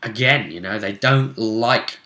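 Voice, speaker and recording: A man commentating as host on a fantasy role-play tournament, with deliberate, halting phrasing. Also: fairly low pitch, bright, forceful tone. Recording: roomy, echoing sound.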